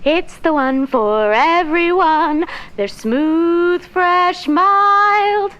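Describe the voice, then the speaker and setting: Cheery old radio jingle girl, super high voice, singsong, really slow and drawn out. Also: fairly bright tone, muffled recording, exaggerated voice. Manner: singsong, rhyming sales delivery. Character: cheery 1940s radio cigarette jingle woman. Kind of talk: 1940s radio advertising jingle.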